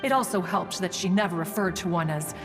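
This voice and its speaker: A woman's voice: fairly low pitch, fairly quick, lightly acted. A woman playing a proud, wistful ancient female immortal in a game, speaking in formal, archaic, pompous phrases. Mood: haughty, fond. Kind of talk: scripted game dialogue, storytelling. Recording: long hall reverb, music behind. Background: music.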